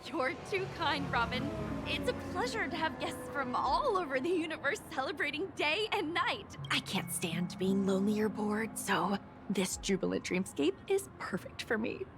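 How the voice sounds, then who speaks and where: A pleasure-seeking lady guest in a video game. Her voice is high and swings widely in pitch as she gushes expressively, with music behind her. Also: moderate pace. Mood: delighted. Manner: gushing, expressive. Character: pleasure-loving, well-mannered female dream resort guest. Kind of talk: scripted game voice acting.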